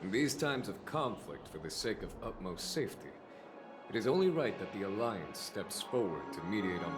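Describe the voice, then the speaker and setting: A deep-voiced, composed, courteous general. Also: male voice. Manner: measured, eloquent, formal. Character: composed, courteous, diplomatic military general, genial and eloquent. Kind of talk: formal video game dialogue.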